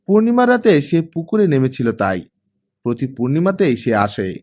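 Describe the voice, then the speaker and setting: A man's voice: a little high, very animated, normal speed, darkish tone. A man voicing a friendly, easygoing male teacher who likes telling stories in an audiobook. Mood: earnest teasing.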